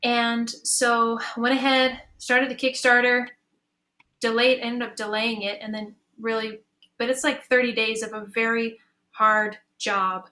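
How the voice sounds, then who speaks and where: Woman, high bright voice, casual panel talk, earnest, encouraging. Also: little pitch movement, measured pace. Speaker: panelist, audio drama creator.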